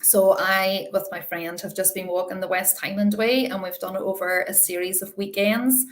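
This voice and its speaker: A woman's voice: mid-range voice, steady tone, moderate pace. A woman with an Irish accent, chatting enthusiastically as a panelist in a live Q&A and laughing at herself. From Ireland.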